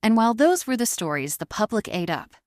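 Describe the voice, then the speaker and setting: A woman reading scripted dramatic fiction as narrator, in a measured storytelling manner. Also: on the high side, big pitch swings, darkish tone, no room sound, studio quality.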